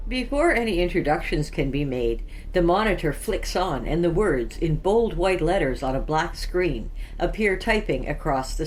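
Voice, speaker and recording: Very low-voiced woman narrating fiction. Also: slight background noise, rough recording. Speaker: podcast host and story narrator. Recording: faint music behind. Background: music.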